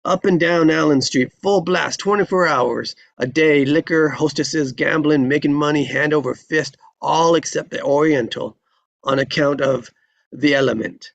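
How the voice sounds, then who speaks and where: A man's voice: on the high side, normal speed, vocal fry, no echo, highs cut off. A man voicing a jovial old frontier marshal with folksy western talk, in a screenplay table read. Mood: jovial, knowing.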